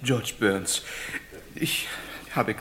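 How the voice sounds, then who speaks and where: An actor playing a frightened family man working as an executioner in a radio crime play, meek, halting and obedient. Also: lowish voice, fairly bright tone, slight background noise, poor audio. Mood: nervous meekness.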